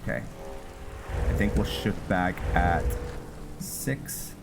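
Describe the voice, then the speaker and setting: A man casually talking through his gameplay, musing aloud with frequent pauses and ums. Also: low-pitched. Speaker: gamer playing a roleplay let's play. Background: game music and rain sounds.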